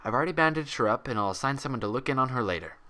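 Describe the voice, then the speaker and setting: A man's voice: medium pitch, animated, very fast, pushed and bright, voice pushed up. A man voicing a falsely sweet, menacing female pony nurse, saccharine and condescending, in a storybook reading. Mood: smug, brisk.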